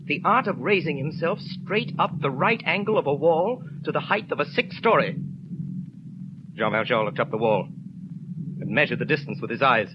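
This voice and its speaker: A man narrates a 1930s radio drama in a somewhat high voice, with suspenseful, deliberate phrasing. The recording is dull and noisy. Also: expressive.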